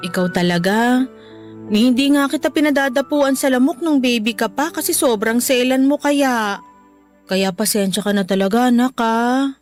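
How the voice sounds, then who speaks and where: A woman's voice: a little high, normal speed, faint music behind, natural voice. A woman acting a tender, apologetic mother in a Filipino radio drama, speaking earnestly and emotionally. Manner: earnest, emotional, soft-hearted. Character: tender, apologetic mother pleading with her grown child.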